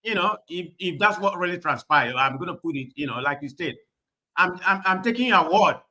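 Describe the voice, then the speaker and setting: A man's voice: sits high, lively intonation, brisk, sharp tone. A man on a call-in broadcast who talks bluntly and rambles, filling his speech with "you know". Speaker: caller on a live broadcast. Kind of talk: heated call-in debate.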